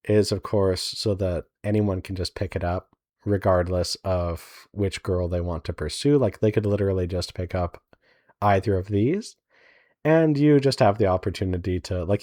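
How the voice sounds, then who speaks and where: Low-voiced man rambling through a casual update. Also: warm tone, no room sound, clean studio sound. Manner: rambling, thinking aloud with trailing hedges. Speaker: indie visual novel developer giving a devlog update. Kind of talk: casual unscripted devlog chat.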